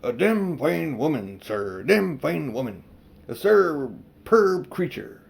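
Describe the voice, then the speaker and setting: A man voicing a vain, foolish old gentleman magician in a storybook, with a pompous, old-fashioned, wheedling manner. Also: on the high side, big pitch swings, slow pace, creaky, breathy edge, heavily acted. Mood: smug, self-admiring. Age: older.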